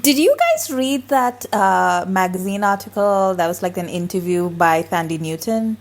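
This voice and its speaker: A woman's voice: mid-range voice, very animated, moderate pace. A woman telling a story on a podcast, giggling as she goes and often saying "like". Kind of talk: casual podcast chat.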